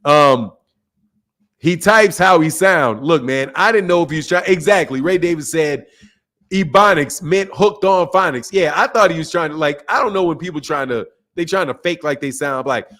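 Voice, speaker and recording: A man's voice: fairly high pitch, expressive, normal speed, fairly bright tone. A man from the United States hosting a livestream, chatting casually with viewers in an emphatic, slangy way and repeating phrases for emphasis. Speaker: livestream host of a boxing talk channel. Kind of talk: casual livestream chat, reading and answering viewer messages.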